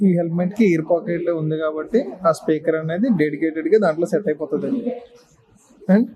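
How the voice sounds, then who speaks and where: A man's voice: on the high side, a little fast, crowd noise, low-quality recording. A man giving a casual hands-on tutorial, explaining step by step in short instructional phrases. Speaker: presenter of a how-to video.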